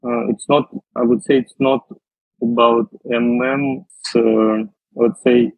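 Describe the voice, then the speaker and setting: A man, answering interview questions slowly and carefully, with many fillers and restarts. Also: medium pitch, flat delivery, creaky, dry recording. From Russia. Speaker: guest, data scientist. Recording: tinny phone-like line.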